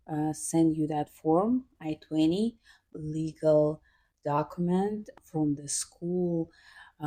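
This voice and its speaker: A young woman from Kazakhstan, a podcast guest telling her story in a halting way with fillers. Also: sits low, dark tone. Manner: halting, with fillers and frequent laughs. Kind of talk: casual interview answers.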